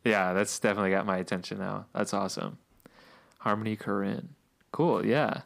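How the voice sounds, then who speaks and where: A man co-hosting a podcast in casual chat, relaxed and chatty, laughing often, saying he is losing his voice. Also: on the low side, a little fast, vocal fry. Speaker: podcast co-host.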